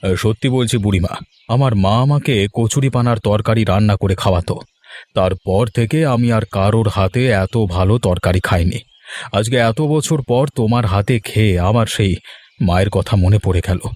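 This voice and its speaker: A man voicing a generous, sentimental village gentleman in a cartoon, speaking effusively and benevolently. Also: fairly low pitch, normal speed, close to an everyday voice. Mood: delighted, nostalgic. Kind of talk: cartoon character dialogue.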